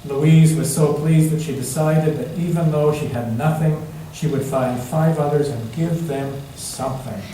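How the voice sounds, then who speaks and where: A man's voice: a little high, warm tone. Echoey, distant male storyteller reading aloud. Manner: dramatic, rhyme-savoring, with emphatic pauses. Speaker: storyteller reading a picture book at a church. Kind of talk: expressive read-aloud storytelling for all ages. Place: large indoor church space, heard from a distance. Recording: echoey church hall, distant mic, faint noise.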